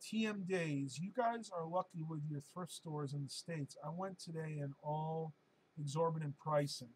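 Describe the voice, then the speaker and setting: A man with a hoarse voice that is wearing out, pitched a little higher than most men. He hosts a relaxed live-stream Q&A and rambles through his answers with frequent ums and uhs. A faint hiss sits behind him. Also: moderate pace, poor audio. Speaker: live-stream host answering viewer questions.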